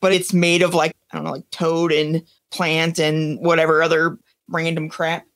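A woman's voice: deep voice, flat delivery, moderate pace, fairly bright tone, no echo. Casual woman co-host, dry close sound. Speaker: podcast co-host. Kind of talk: casual chat.

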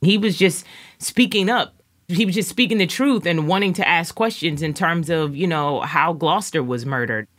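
A woman's voice: on the low side, normal speed, a bit bright. A woman, an actor, talking casually in an interview.

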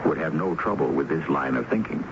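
Deep, animated male radio drama host. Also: creaky, noisy background. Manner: philosophical, rhetorical, deliberate phrasing. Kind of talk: scripted dramatic introduction, 1970s radio. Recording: dull old radio recording over loud music.

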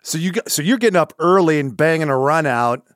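A man's voice: fairly high pitch, expressive, a little fast, fairly bright tone, no room sound. A man co-hosting a podcast from the studio, bantering and joking in a casual bro-ish way. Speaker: podcast co-host. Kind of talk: casual chat.

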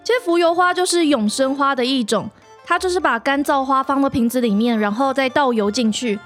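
A woman with a Taiwanese Mandarin accent talks in a high-pitched voice. She chats casually as a host and author, explaining things playfully, with soft music underneath. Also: brisk. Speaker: podcast host and story author. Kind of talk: casual chat.

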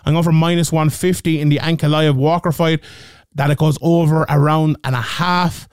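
A man's voice: fairly high pitch, fairly even intonation, moderate pace, clean tone, no echo, clean studio sound. An Irish man hosting a casual betting show, thinking aloud and checking the odds as he talks. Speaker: host. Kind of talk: casual betting picks talk.

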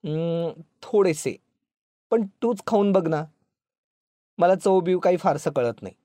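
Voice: mid-range voice, big pitch swings, normal speed, darkish tone, no echo. A narrator voicing a meek, simple young husband who falters and tries to placate. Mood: timid.

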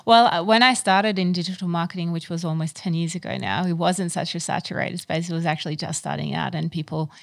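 A woman's voice: fairly low pitch, fast, creaky, few pauses, no room sound, studio quality. A woman in her thirties from Ukraine, a business owner answering interview questions in a chatty way with many fillers and restarts.

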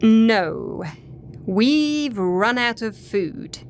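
A woman's voice: on the high side, expressive, very slow, slight background noise. A woman playing an earnest, tactful and practical team member in a comedy audio drama. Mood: worried, practical.

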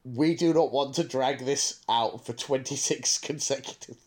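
A man's voice: mid-range voice, measured pace, darkish tone. A man co-hosting a podcast in casual chat, thinking aloud as he talks at length. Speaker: podcast co-host.

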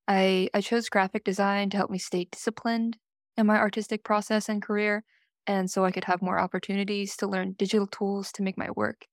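Flat-voiced young woman student. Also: mid-range voice, a little fast, no room sound, clean studio sound. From California. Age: young adult. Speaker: design student guest. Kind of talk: casual podcast chat.

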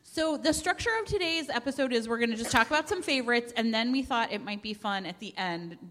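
A woman's voice: fairly high pitch, fast talker, a bit bright. A woman chatting casually to an audience as co-host of a live podcast. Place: indoor hall at a live podcast event. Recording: echoey hall, faint background noise.

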